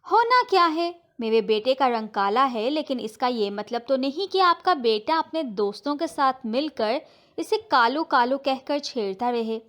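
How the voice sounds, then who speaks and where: An angry neighbourhood mother in a storybook tale, acted out by a woman narrator. Her voice is pitched high and indignant. She scolds and complains sharply, like an aggrieved parent. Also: animated, normal speed, fairly bright tone. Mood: indignant complaint.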